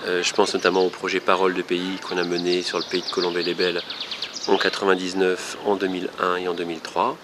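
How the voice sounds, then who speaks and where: A man speaking for a theatre company, explaining its work in an interview with hesitant pauses. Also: low-pitched, completely flat delivery. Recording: loud noise, birdsong, clicks. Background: birdsong.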